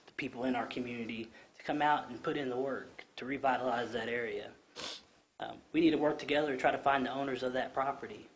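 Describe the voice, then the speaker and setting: A man in his thirties with a low voice, speaking earnestly at a podium microphone during public comment at a council meeting, with plenty of ums and restarts. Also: slight background noise. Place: council chamber.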